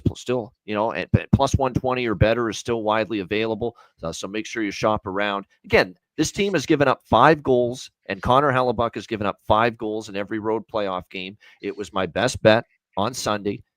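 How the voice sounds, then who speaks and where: Low-voiced man hosting a betting show. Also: no echo, low-quality recording. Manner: chatty, upbeat show plugs.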